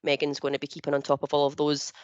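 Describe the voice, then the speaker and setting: A woman's voice: low-pitched, completely flat delivery, fast talker, dry recording, lo-fi sound. A woman hosting a business webinar, speaking with many fillers and restarts over a video call. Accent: not local to the northeast of England. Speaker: webinar host and partner manager.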